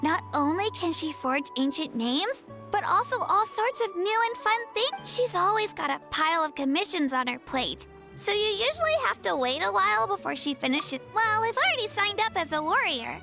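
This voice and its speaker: A woman's voice: very high voice, sing-song, moderate pace, gravelly, bright, forceful tone, faint music behind, full character voice. A woman voicing an eager, cheerful young girl warrior in a video game, bubbly, earnest and a bit bashful. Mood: upbeat, earnest.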